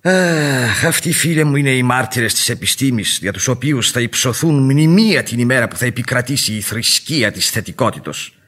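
A pompous male professor with a very animated, swooping voice at medium pitch. He is pedantic and smug, in theatrical radio drama style. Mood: solemn, grandiose.

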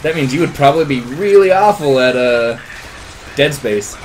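A man's voice: medium pitch, expressive, moderate pace, a little hiss or hum, poor audio. A man playing a video game and chatting with friends, joking casually in a crude, goofy way. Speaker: video game player in a group let's play.

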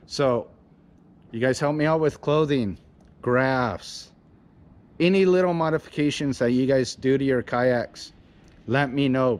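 A man's voice: mid-range voice, a little mellow. A man in his forties from Illinois, chatting upbeat and casual to a handheld camera outdoors, eagerly asking viewers for advice. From Illinois, USA. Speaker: fishing channel host. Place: outdoors in front of a house garage. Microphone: camera at arm's length.

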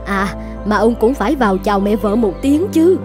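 A woman voicing a long-suffering older wife in an animated folk tale, measured and plain-spoken. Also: medium pitch, over music, lightly acted. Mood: relieved, forgiving.

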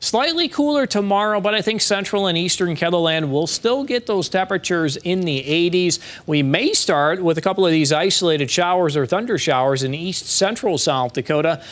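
A man presenting the weather forecast on television, relaxed and conversational, with a few fillers. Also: sits high, moderate pace, few pauses. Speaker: meteorologist. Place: TV weather studio.

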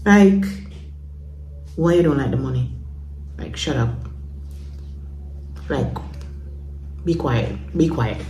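A young Jamaican woman vlogging at home, talking casually to her phone camera in a chatty, relaxed way and slipping into patois now and then. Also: extremely low pitch, takes it slowly, pauses often, a little hiss or hum, low-quality recording. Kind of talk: casual vlog chat to camera. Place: small home bathroom and bedroom, indoors. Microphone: phone camera at arm's length.

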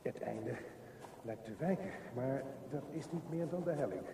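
An actor playing a desperate man struggling through a dark tunnel in a halting, intense radio play monologue. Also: medium pitch, expressive, close to an everyday voice. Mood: straining, determined. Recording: noisy, echoey, distant radio play recording.

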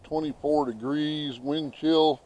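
A man's voice: a little high, fairly even intonation, slow pace, darkish tone. A middle-aged man with an American accent chatting casually and folksily in a homestead vlog, filming himself outdoors on a handheld camera. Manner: folksy, chatty, talks to his birds. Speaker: homestead vlogger. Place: outdoors in a snowy farmyard. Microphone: handheld camera at arm's length. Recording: noisy outdoor camera audio, birds clucking.

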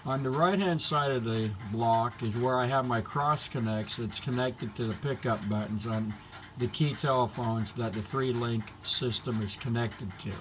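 A man's voice: medium pitch, normal speed, noisy background. A man narrating an explanatory demonstration in a methodical, matter-of-fact, technical manner. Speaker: hobbyist presenter.

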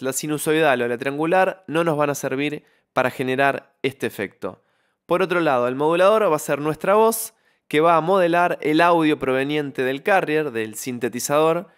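A man presenting an instructional tutorial in voice-over, explaining step by step into a close microphone. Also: fairly high pitch. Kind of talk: instructional software tutorial narration.

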